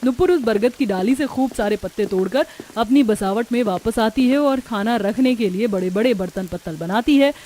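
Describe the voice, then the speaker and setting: A woman's voice: a little high, moderate pace, few pauses, mellow, a little hiss or hum. A woman narrating a scripted cartoon story as a storyteller.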